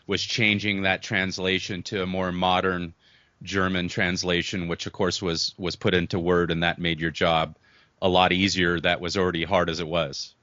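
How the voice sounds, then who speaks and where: A man's voice: low voice, level tone, moderate pace, sharp tone, no echo, muffled recording. A man hosting a radio interview show, asking casual questions and often repeating words.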